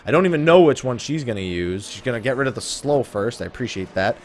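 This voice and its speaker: A man's voice: medium pitch, lively intonation, fast. A man giving casual let's play commentary on a video game, swearing and griping with sarcasm. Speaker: host of a gaming let's play series.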